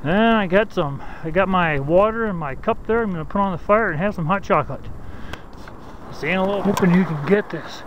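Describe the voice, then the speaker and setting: Higher-voiced man vlogging in snowy woods. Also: muffled recording, slight background noise. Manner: halting, repeats words often. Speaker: outdoors vlogger. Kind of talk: casual bushcraft walkthrough. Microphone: handheld camera at arm's length.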